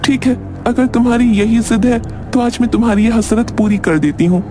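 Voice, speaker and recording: Man acting as bitter young woman, high-pitched, reproachful, dramatic cartoon story, music behind. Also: normal speed. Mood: defiant. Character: bitter young woman with burned face, accusing former stalker. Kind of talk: Hindi cartoon story dubbing.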